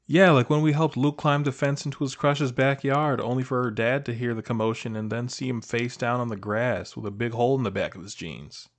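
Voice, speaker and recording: A man acting as a shy, nostalgic old high school best friend, talking casually and hesitantly to the listener in an intimate audio roleplay. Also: steady tone, a little fast, few pauses, lightly acted. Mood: fond, amused. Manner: hesitant, casual, soft-hearted, trailing off.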